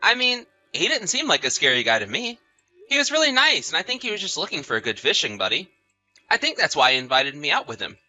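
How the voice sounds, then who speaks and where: A man's voice: a little high, huge pitch swings, fast, sharp tone, no room sound, soft background music, exaggerated voice. A man playing an easygoing, sociable husband in chatty, casual audio drama dialogue. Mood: mildly defensive. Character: easygoing husband, sociable, placating, mildly defensive.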